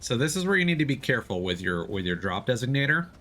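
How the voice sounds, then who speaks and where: A man's voice: mid-range voice, big pitch swings, fast talker, fairly bright tone, a little hiss or hum. A man streaming a video game, giving casual live commentary and explaining tactics step by step as he plays. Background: video game sound.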